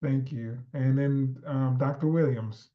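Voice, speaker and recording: A man moderating a panel in a relaxed way, with lots of "um" and friendly "y'all". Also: mid-range voice, a little slow, dull audio. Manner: relaxed, filler-heavy, uses y'all. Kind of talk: casual moderating.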